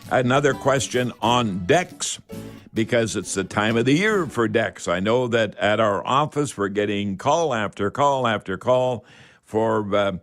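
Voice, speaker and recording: A man's voice: lowish voice, animated, unhurried, soft background music. An older man hosts a radio call-in show, chatting in a folksy, rambling way with old-fashioned expressions. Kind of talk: casual home-improvement call-in radio talk.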